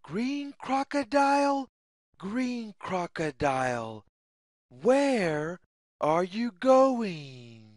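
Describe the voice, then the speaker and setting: A woman reading a picture book aloud for young children in a deliberate, sing-song way, in short separated phrases. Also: mid-range voice, very animated, a little mellow, no room sound, clean studio sound. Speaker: children's story reader.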